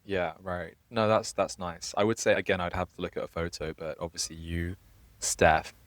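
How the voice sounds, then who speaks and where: Young guy podcast cohost, low voice, just chatting, dry sound no room. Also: flat delivery, moderate pace, no echo. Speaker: podcast co-host. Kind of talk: casual chat.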